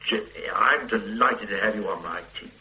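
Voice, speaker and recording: A brusque police chief. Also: male voice, lowish voice, normal speed, pushed and bright, slight background noise, low-quality recording. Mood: lecturing. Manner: lecturing, brusque, mocking. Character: stern middle-aged male police superintendent boss. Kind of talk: 1980s radio drama acting.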